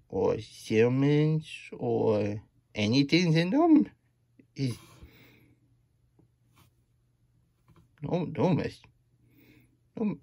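Childlike storybook hedgehog host, played by a man: breathy, excitable, unhurried, close and dry sound. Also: medium pitch, no echo, heavily acted. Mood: puzzled, pondering. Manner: childlike broken grammar, third-person self-reference, gasping wonder. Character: excitable, childlike hedgehog plush reading a picture book. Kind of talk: character read-aloud with chatty asides. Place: small room indoors at a table.

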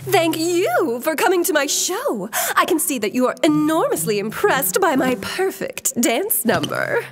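A woman's voice: high-pitched, very animated, measured pace, hardly any pauses. A woman playing a vain, grandiose spider ballerina in a children's cartoon, with a pompous manner. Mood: self-satisfied. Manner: pompous, self-adoring.

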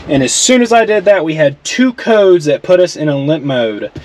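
Relaxed man talking through his car build. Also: on the high side, moderate pace, poor audio. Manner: relaxed, self-deprecating, explains while working. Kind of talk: casual how-to walkthrough. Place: garage and inside a truck.

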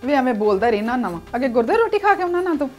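A woman plays a sharp-tongued village mother in a comedy sketch. She scolds and nags her sons in a high, quick voice, with faint music underneath. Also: sing-song. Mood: scolding. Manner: scolding, nagging.

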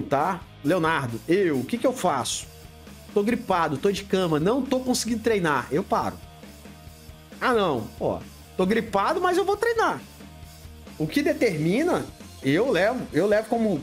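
Blunt, animated Brazilian man streaming. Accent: Brazilian Portuguese. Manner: blunt, greets viewers by name, lively swings in pitch. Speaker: livestream host answering viewer questions. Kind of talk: casual live chat. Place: home studio room lit with colored LEDs.

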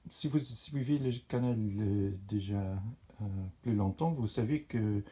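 A man for whom French is not his first language, hosting a model railway channel and talking in a casual, halting way with many fillers. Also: on the low side, mellow. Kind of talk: casual hobby show-and-tell. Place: indoors beside a model railway layout.

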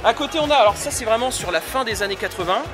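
Man, thirties, high-voiced, rambling explainer at a noisy expo stand with music playing behind. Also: lively intonation, fast. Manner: explanatory, many fillers and restarts. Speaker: retro computer exhibitor. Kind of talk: casual explanation at a convention stand. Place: busy convention hall stand, indoors. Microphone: handheld recorder held by the interviewer.

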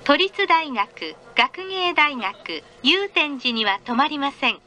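High, bright woman train announcer. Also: big pitch swings, takes it slowly. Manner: polite, formal, carefully enunciated. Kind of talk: scripted public train announcement. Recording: train announcement with clear vehicle noise. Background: moving train noise.

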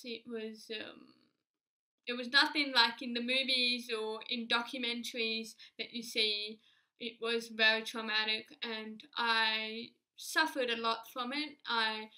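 A woman, giving an earnest, measured personal speech to a close camera in a small room. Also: fairly high pitch. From Australia. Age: 20s. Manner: earnest, measured, steady delivery. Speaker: alumni speaker. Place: small indoor room against a plain wall.

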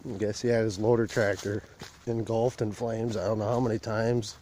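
A man's voice: on the low side, flat delivery, normal speed, dark tone, a little hiss or hum. A man vlogging on a phone as he walks a burnt field outdoors, talking in a plain, rambling rural way. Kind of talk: casual walk-and-talk vlog. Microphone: handheld phone camera while walking.

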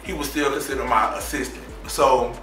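A man's voice: medium pitch, fairly even intonation, a little fast. A man hyping himself up to camera before a performance, swaggering and profane. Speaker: rapper, reality show cast member. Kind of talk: on-location vlog talk to camera. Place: indoor venue before a show. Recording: echoey venue, loud music behind.

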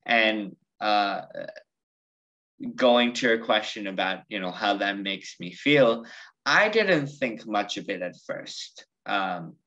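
A man's voice: lowish voice, expressive, slow, bright, forceful tone. A teenage boy with a slight Indian accent, telling a personal story on a video call, reflective and full of ums. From United States, raised partly in India. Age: teens. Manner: reflective, with many ums and restarts. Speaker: student guest. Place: bedroom at home. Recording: muffled video call audio.

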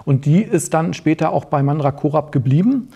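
A man in his forties chatting casually as a studio guest, explaining things haltingly with repeated words. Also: on the high side, lively intonation, creaky, mellow, breathy edge. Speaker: guest author on a talk show. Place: small indoor talk-show studio.

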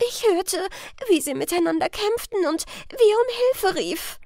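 A woman's voice: very high-pitched, animated, measured pace, warm tone, dry recording, clean studio sound, pitched above the performer's own voice, exaggerated voice. A woman voicing a breathless, distraught young woman calling for help in an audiobook crime story. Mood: breathless, shaken.

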